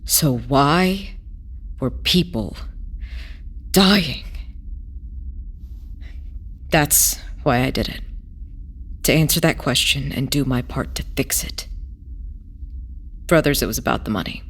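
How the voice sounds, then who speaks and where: A woman playing a weary, idealistic former smuggler in an audio drama, telling their past in a hesitant, wistful way with pauses. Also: extremely low pitch, expressive, slightly rough, full character voice. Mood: wistful, bitter. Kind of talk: audio drama acting, halting first-person testimony.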